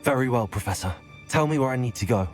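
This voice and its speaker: A young man acting as a determined wizard student in a video game, polite, measured and earnest. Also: mid-range voice, expressive, faint music behind, lightly acted. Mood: earnest, concerned.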